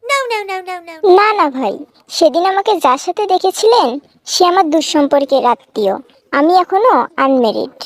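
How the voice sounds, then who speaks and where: A woman's voice: extremely high pitch, expressive, normal speed, long pauses. A woman playing a polite, curious young university student from the city in a cartoon comedy, with a standard Dhaka accent and a questioning manner. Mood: flustered. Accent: standard Dhaka Bangla.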